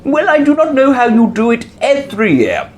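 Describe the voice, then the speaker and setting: An actor playing a fussy, theatrical English village vicar in a comic radio drama, flattering and dithering as he goes. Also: extremely high pitch, heavily acted. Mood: unctuous, jovial. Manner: effusive, dithering, drawn-out delivery. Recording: very echoey, reverberant room.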